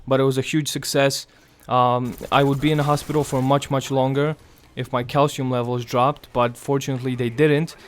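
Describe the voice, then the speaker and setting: A young man talks through a gameplay commentary in a weak, raspy voice, worn out and strained after surgery. He keeps it quiet and halting, pausing often, with a flat, even tone that stays smooth underneath the rasp. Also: mid-range voice. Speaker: gaming commentator.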